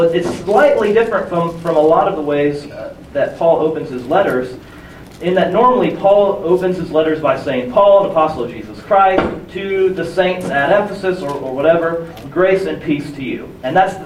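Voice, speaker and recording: A hoarse young man preaching a sermon in a conversational, self-deprecating way, with many restarts. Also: fairly high pitch, lively intonation, normal speed, slight background noise. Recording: echoey room from far off with faint ambience.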